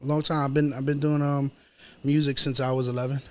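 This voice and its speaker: A man with a New York accent chatting casually as an interview guest, slangy and pausing as he goes. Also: medium pitch, flat delivery. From New York City, United States.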